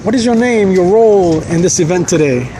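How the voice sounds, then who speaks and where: A man's voice: sits high, lively intonation, fairly quick, crowd noise. A man interviewing people at a community event, asking friendly, chatty questions. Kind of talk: casual street interview. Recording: outdoors with loud music. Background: loud music.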